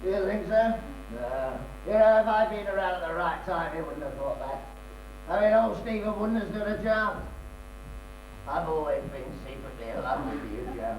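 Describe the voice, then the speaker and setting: A man's voice: high voice, animated, moderate pace, darkish tone. A man with a British accent acting a rambling, self-pitying drunken man in an amateur stage comedy. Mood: maudlin, confiding. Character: maudlin, rambling drunken man abandoned by his partner. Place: small theatre stage. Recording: echoey, distant stage recording with hum.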